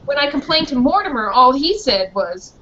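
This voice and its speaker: Woman doing a proud pit bull telling his story in a school play, high voice, bit dull sounding. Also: big pitch swings, moderate pace, pushed and bright, full character voice. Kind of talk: scripted storytelling narration. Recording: muffled, noisy school performance recording.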